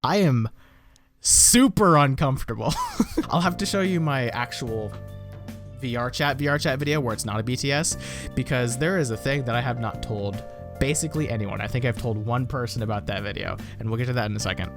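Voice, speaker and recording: A man's voice: mid-range voice, brisk, over music. A young American man, a YouTuber, rambling and self-deprecating as he reacts to his old videos, swearing casually. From United States.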